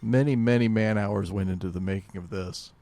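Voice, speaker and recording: A man's voice: steady tone, fairly quick, vocal fry, warm tone, no echo. A man co-hosting a casual podcast, speaking in a dry, understated way. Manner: dry, understated, low-key. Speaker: podcast co-host.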